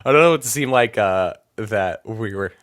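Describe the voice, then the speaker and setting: A man's voice: on the low side, expressive, moderate pace, a bit bright, dry recording. A man talking as a podcast guest in easygoing chat, rambling with fillers and restarts. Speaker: band vocalist, podcast guest. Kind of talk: casual interview chat.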